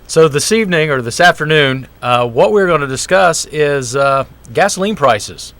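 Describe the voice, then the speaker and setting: A man's voice: fairly high pitch, lively intonation, normal speed, fairly bright tone, no echo. A man hosting a business talk show from a university TV studio, introducing guests in an upbeat, chatty way. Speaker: show host, small business center director.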